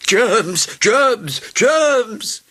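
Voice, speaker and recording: A man's voice: extremely high pitch, sing-song, extremely slow, pushed and bright, voice pushed up, exaggerated voice. A man voicing fussy, alarmed royal doctors in a storybook, crying out panicky warnings. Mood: panicked shouting.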